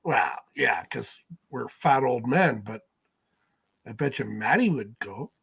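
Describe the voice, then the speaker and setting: A middle-aged man with a husky, rough-edged voice, talking at a slow pace. He co-hosts a casual chat, dropping offhand wisecracks. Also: medium pitch, lively intonation, fairly bright tone. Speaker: livestream co-host. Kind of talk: casual banter.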